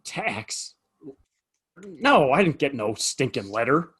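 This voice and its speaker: A man playing a weary Old West saloon keeper in an audio play, husky, hesitant and folksy. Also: medium pitch, sing-song, slow pace, fairly bright tone, full character voice. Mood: indignant, worried. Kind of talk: Western audio play acting.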